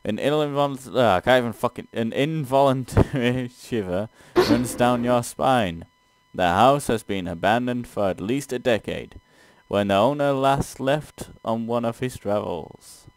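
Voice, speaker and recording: A man's voice: mid-range voice, a little slow, hardly any pauses, clear, resonant tone, no echo. A man co-hosting a casual let's play, reading game text aloud with stumbles and sweary, exasperated asides. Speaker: gaming show co-host playing and reading aloud.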